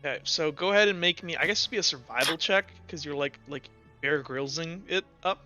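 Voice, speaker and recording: A man improvising narration as game master of a tabletop roleplaying game, in informal, halting phrasing. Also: a little high, bright, forceful tone. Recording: dull lo-fi audio with music behind.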